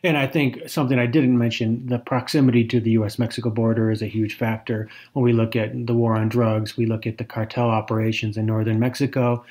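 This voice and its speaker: A man's voice: mid-range voice, flat delivery, fairly quick, hardly any pauses, a little mellow. A man co-hosting a podcast, explaining fluently in casual talk with some fillers. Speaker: podcast co-host.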